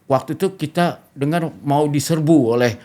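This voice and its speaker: A middle-aged Indonesian man hosting a talk show, telling stories in an animated, casual conversational way. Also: a little high, moderate pace.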